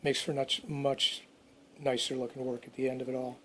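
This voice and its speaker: A man's voice: medium pitch, flat delivery, moderate pace, bright, forceful tone, slight background noise. A man giving casual how-to narration for a DIY project, informal and unscripted, as if thinking aloud. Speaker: DIY restoration video narrator.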